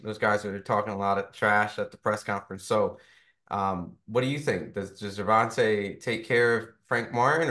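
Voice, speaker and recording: A man's voice: lowish voice, a bit bright. A man interviewing a boxer in a casual way, full of fillers and frequent "right?" tags. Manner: chatty, filler-heavy, keeps asking "right?".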